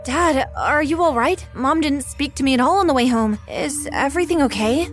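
High-voiced, emotional teenage girl played by a woman. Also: normal speed, soft background music, lightly acted. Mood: anxious. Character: teenage schoolgirl narrator, earnest, emotional and defiant. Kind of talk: first-person story narration with acted dialogue.

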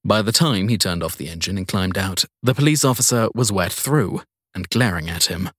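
Low, husky man narrating crime fiction. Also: moderate pace, a bit bright, no room sound, studio quality. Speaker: audiobook narrator.

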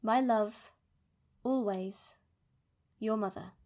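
A woman playing an anxious, wounded, fiercely loving middle-aged mother, reading her letters in a formal, measured way in a fantasy audio drama. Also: mid-range voice, darkish tone, lightly acted. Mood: tearful, wistful.

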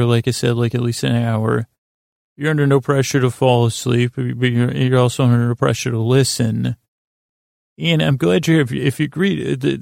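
Creaky, droning man rambling for sleep. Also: mid-range voice, darkish tone, dry recording, studio quality. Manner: meandering, halting, repetitive, goes off on tangents. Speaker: podcast host. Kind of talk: rambling bedtime podcast monologue to help listeners sleep.

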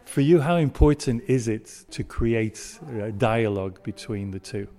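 A male interviewer puts measured questions to conference guests. His voice is mellow and dark, with a middling pitch, and he pauses now and then mid-question.

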